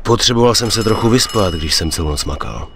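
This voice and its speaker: A man's voice: on the low side, expressive, background noise. A man playing a reserved young mafia driver in a video game, understated and matter-of-fact. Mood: businesslike. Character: reserved young male mafia driver, reluctant but obedient. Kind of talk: dubbed video game cutscene acting.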